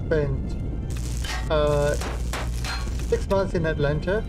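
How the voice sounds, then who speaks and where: Streamer host, man, accented, rambling anecdotes, set back from the mic over clear background music. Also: a little high, slow pace, distant mic. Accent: non-American accent. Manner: rambling storyteller, chuckles often. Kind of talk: casual chat on a live stream.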